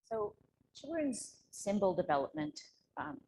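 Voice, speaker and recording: A woman's voice: fairly low pitch, big pitch swings, a little slow, darkish tone, a little hiss or hum, rough recording. A woman giving an academic conference presentation at a workshop, explaining with informal asides. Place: live workshop room, relayed to video call.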